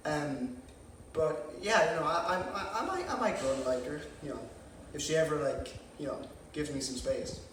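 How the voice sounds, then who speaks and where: A man's voice: fairly high pitch, animated, normal speed, natural voice. A young man playing an awkward, defensive student candidate in a mockumentary sketch, stammering and over-explaining himself. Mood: flustered. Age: young adult. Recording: echoey room, distant mic, faint noise.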